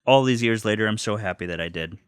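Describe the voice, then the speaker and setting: A man's voice: on the low side, quick pace, clear, resonant tone, no echo, clean studio sound. A man giving a casual, enthusiastic monologue as host, speaking in an earnest, gushing way and swearing casually.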